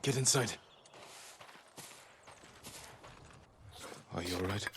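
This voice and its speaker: A man acting as a stoic, determined samurai lord in a video game drama, speaking in a terse, solemn and measured way. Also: fairly low pitch, fairly bright tone, slight background noise. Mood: urgent concern. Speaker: game character voice actor.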